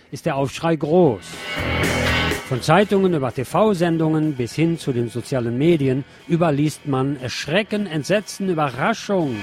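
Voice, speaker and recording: A man's voice: mid-range voice, a little mellow. A man reading scripted news and press reports as a radio presenter.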